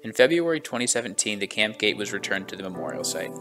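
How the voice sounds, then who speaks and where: A man reading a measured, solemn voice-over narration for a travel vlog walking tour. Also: fairly low pitch, lively intonation, music in the background.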